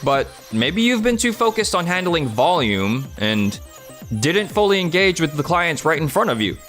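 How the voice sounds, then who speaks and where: A man voicing a humble, sincere young salesman in a manga dub, gentle and earnest. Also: fairly high pitch, very animated, normal speed, soft background music. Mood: supportive. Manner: earnest, modest. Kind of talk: anime-style dubbed dialogue.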